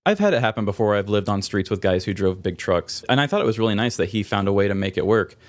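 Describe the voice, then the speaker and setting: A man's voice: lowish voice, expressive, fast, no room sound. A man co-hosting a podcast, telling everyday stories in an informal, mildly exasperated way. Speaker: podcast co-host. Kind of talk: casual anecdotal chat.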